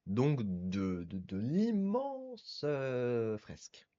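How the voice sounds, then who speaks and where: A man's voice: medium pitch, big pitch swings, drawn-out words, mellow, lo-fi sound. A male podcast guest who talks in elaborate, literary phrasing during a casual conversation.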